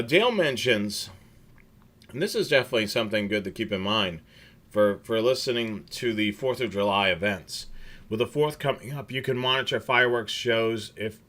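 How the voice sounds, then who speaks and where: A man's voice: on the low side, sharp tone. A man hosting a casual livestream chat, rambling with many fillers and restarts. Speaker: scanner show host.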